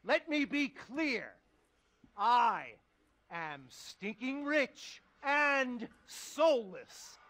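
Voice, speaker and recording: Pompous high-pitched finance tycoon. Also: male voice, sing-song, extremely slow, bright, forceful tone, slight background noise, heavily acted. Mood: grandiose, scornful. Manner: pompous, contemptuous, theatrical. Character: rich, ruthless, cynical male finance tycoon mentor. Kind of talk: satirical video game movie acting. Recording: music under the dialogue.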